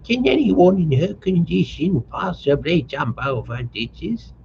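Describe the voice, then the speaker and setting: A man voicing a frantic older mother who scolds and wails in a shrill, accusing voice. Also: lively intonation, unhurried, gravelly, a little mellow, highs cut off, slight background noise. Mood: frantic scolding.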